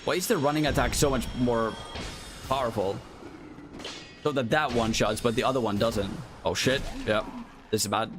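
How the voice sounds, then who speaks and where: Guy streaming a game, mid-pitched, chatty, reads chat and apologizes a lot. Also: moderate pace, pauses often, music in the background. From Finland. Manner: chatty, apologetic, thinks aloud. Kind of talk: live gameplay commentary, reading chat aloud.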